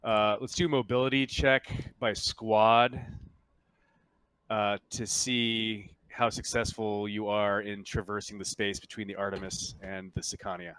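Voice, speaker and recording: A man running a tabletop roleplaying game as game master, narrating in a halting, self-correcting way. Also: on the low side, little pitch movement, a bit bright, smooth, dry recording.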